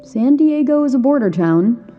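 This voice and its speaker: A woman's voice: high voice, lively intonation, moderate pace. A woman playing an elusive, taunting missing teenage girl in an audio drama, with a sardonic manner and a muffled filter on her voice. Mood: sardonic, challenging. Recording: muffled narrow band, faint music.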